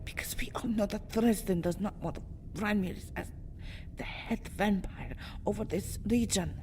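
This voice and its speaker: A woman performs a centuries-old vampire girl in an ASMR roleplay drama, dark and mellow in tone. She is proud, protective and distraught, speaking theatrically with breathy, whispery dips. Clear ambient noise sits behind her. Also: sits high. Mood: dread, bitter. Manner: theatrical, often dropping into breathy whispers, swelling into agitated outbursts. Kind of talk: ASMR roleplay monologue, melodramatic acting.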